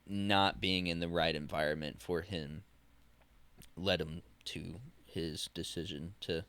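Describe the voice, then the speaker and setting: A young man in his twenties with a low, clear voice co-hosts a casual podcast. He talks slowly and keeps restarting his sentences while he searches for words. The sound is dry and close. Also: steady tone, fairly bright tone, no room sound. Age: 20s. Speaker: podcast co-host.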